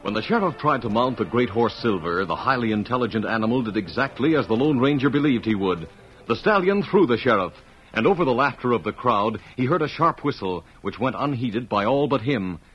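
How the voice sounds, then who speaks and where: A man's voice: medium pitch, animated, a little fast, fairly bright tone, breathy edge, soft background music. A man narrating a western adventure as a scripted old-time radio announcer. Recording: dull old radio recording.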